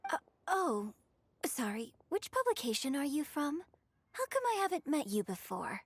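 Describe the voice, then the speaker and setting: A woman playing a gracious young opera star in a video game, courteous, measured and gently reserved. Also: sits high, sing-song, dry recording. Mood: surprised curiosity. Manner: courteous, measured, softly thoughtful. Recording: music bed under the voice.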